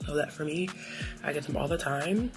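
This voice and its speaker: A woman's voice: low voice, brisk, over music. An American woman with a cold chatting casually and playfully in slang, with self-deprecating asides, like a beauty vlogger getting ready. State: has a cold. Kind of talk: casual get-ready-with-me chat.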